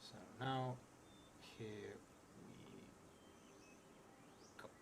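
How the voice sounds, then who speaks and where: A man in his thirties with a fairly low voice hosts a solo role-playing stream. He is unhurried and thinks aloud, dropping into murmured asides. The recording has clear background noise. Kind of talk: narrating a solo card game on a live stream. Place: indoor room at a theatre, at a table. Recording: noisy room with steady background noise.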